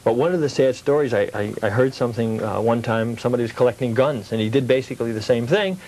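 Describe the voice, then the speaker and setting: Male interview guest, middle-aged. Average pitch, casual, earnest, feels his way through explanations as a collector. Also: fast talker, darkish tone, rough recording.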